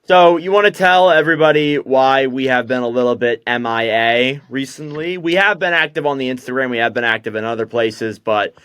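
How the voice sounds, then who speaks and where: A man's voice: medium pitch, fairly quick, hardly any pauses, fairly bright tone, smooth, no echo. A young man hosting a casual hockey podcast, chatty and loose with lots of fillers. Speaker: podcast host.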